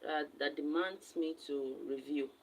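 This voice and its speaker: A woman's voice: sits low, steady tone, unhurried, darkish tone, a little hiss or hum, poor audio. A woman from Nigeria hosting a casual live stream, who has just woken up and reads viewer comments aloud and answers them directly. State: just woke up.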